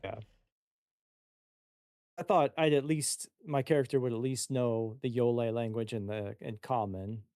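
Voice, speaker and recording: Gravelly, mellow-voiced man, hesitant. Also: medium pitch, fairly even intonation, measured pace, pauses often, no room sound. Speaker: player creating a character. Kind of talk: casual chat.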